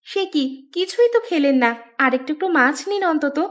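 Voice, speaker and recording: A woman plays a scheming village housewife in a cartoon. Her high voice fusses and coaxes, full of overdone concern. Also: animated, moderate pace. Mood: coaxing. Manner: fussing, coaxing. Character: coaxing, self-serving village housewife, falsely solicitous. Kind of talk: Bengali cartoon voice acting.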